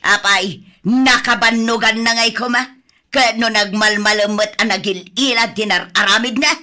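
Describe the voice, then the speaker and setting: An older mother-in-law in a radio drama. Her voice is very bright and cutting, set fairly high. She nags and scolds, harsh and bossy with everyone under her roof. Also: fairly even intonation, normal speed. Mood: angry, dismissive. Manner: nagging, sharp-tongued.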